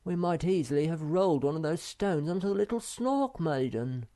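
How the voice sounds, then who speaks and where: A man's voice: fairly high pitch, expressive, normal speed, dark tone, pitched above the performer's own voice. A man voicing a young male troll in a storybook, earnest and eager.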